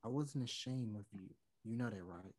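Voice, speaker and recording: A man's voice: on the low side, normal speed, a little mellow, close to an everyday voice. A man in his twenties playing a remorseful, earnest man who longs for his first love, in a play read over a video call. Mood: apologetic. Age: 20s. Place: room at home. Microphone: computer mic on a video call.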